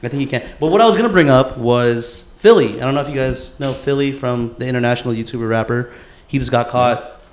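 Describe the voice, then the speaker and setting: A man's voice: medium pitch, fast. A young man co-hosting a podcast with friends, chatting casually and crudely in slangy, bro-heavy talk. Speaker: podcast co-host. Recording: roomy, echoing indoor sound.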